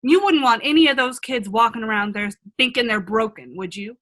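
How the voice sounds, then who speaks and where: A woman's voice: on the high side, brisk, a bit bright, close to an everyday voice. A woman playing a wise, frank older self from a magic mirror in a radio play, earnest and reassuring. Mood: encouraging, firm. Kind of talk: comedic radio play acting.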